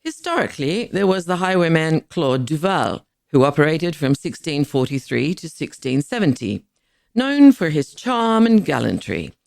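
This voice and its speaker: Woman narrating, creaky and animated. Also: sits low, moderate pace, dry recording, clean studio sound. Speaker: podcast narrator. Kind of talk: scripted history narration.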